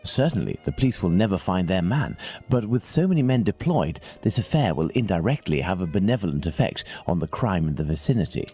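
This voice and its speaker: A cool, commanding British gentleman detective from a dramatic adventure game. His voice sits low, yet it rises and falls theatrically as he reasons in formal, measured phrases, utterly sure of himself. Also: very animated, breathy edge, soft background music, full character voice. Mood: calm, reasoning. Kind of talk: dramatic video game acting.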